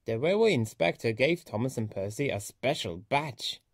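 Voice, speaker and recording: A man reading a children's story aloud as an expressive storyteller. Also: mid-range voice, normal speed, no room sound, clean studio sound.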